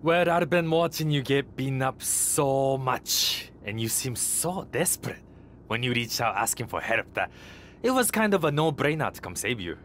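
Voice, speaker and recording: A man's voice: mid-range voice, very animated, moderate pace, a bit bright, slight background noise. A man playing a cocky, vain young wrestler in a video game cutscene, smug and self-absorbed. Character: cocky, vain, social-media-obsessed young male wrestler.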